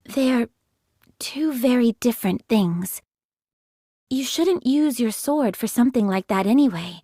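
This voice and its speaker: Compassionate game squadmate, young female, breathy, higher pitch, composed, protective, dry recording. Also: expressive, measured pace, warm tone, no room sound. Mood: calm, reproving. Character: compassionate, level-headed young woman soldier, protective. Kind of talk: dramatic game voice acting.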